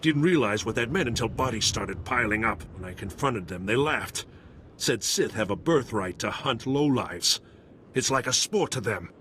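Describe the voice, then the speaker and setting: An actor playing a shady alien bounty hunter in a video game, curt, defensive and matter-of-fact, with some background ambience. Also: male voice, mid-range voice, expressive, normal speed, a bit bright, a little hiss or hum, natural voice. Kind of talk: dramatic video game acting.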